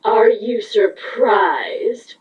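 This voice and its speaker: A woman's voice: mid-range voice, animated, extremely slow, darkish tone. A woman voicing a proud, defeated changeling queen in a cartoon pony fan fiction drama, mocking, weary and bitter. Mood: hostile, hushed. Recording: echoey, dull, distant from the mic.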